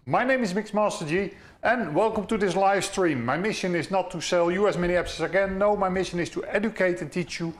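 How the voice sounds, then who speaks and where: A man's voice: sits high, a little fast. A Dutch man in his fifties with a Dutch accent, hosting a live stream for DJs in a chatty way with many "uh" fillers. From Netherlands. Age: 50s. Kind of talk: live stream talk to chat. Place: home studio room.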